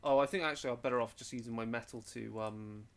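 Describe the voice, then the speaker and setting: A man's voice: mid-range voice, fairly even intonation, fast, a crowd in the background. A man playing a tabletop roleplaying game, chatting casually and thinking aloud through his moves.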